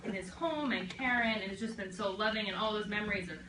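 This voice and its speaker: A young woman with a loud voice giving a chatty, joking toast at a birthday party. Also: mid-range voice, quick pace, background noise. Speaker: family member giving a toast. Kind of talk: casual spoken toast. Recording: echoey room, distant mic, loud crowd.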